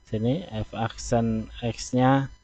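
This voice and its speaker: A man teaching math, talking through a graph step by step with slow, deliberate pauses. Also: mid-range voice, steady tone, warm tone. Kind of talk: explaining at a board. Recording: dull, dry lo-fi recording.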